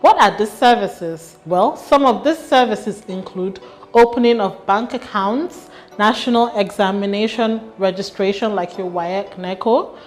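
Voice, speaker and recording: A woman's voice: medium pitch, unhurried. Woman presenter over faint music. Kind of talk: scripted explainer narration.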